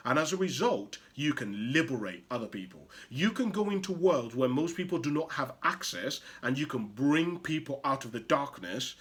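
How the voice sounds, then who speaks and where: Animated motivational speaker, man from Uganda. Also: mid-range voice. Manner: emphatic, rhetorical questions, swings from loud to hushed. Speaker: motivational show host. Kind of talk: impassioned motivational monologue.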